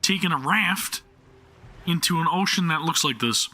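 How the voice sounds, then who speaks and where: A young man talking casually over his gameplay on a live stream, with a very bright, sharp-edged voice pitched a bit high. He rambles, jokes at his own expense and stumbles over restarts. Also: lively intonation, moderate pace. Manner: chatty, self-deprecating, stammering restarts. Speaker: game streamer.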